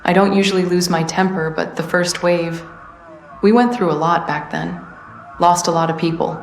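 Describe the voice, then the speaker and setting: A woman playing a tough, weary resistance veteran who hands out missions in a video game, speaking plainly and in a measured way. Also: lowish voice, roomy sound, a little hiss or hum, natural voice. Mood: somber, reflective. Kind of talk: video game character dialogue.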